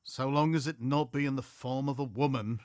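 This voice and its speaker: Man acting a gruff, growling sailor. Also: medium pitch, fairly even intonation, normal speed, a little mellow, no echo, clean studio sound, close to an everyday voice. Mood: grudging, bitter. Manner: grudging, growling, archaic sailor speech. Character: angry cornered male pirate-era sailor, gruff and grudging. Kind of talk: audio play dialogue.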